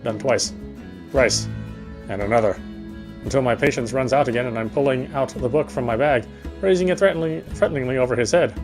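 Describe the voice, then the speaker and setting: A man's voice: medium pitch, fairly quick, over music, poor audio. A man reading first-person visual novel text aloud as narration, stumbling now and then over words.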